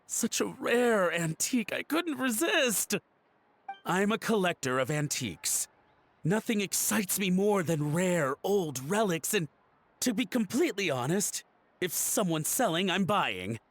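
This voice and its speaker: An actor playing a nervous, guilty antique collector in a video game, melodramatic and easily flustered. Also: male voice, high voice, sing-song, unhurried, heavily acted. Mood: sheepish, confiding. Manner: flustered stammering and melodramatic pleading. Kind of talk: voice-acted game dialogue.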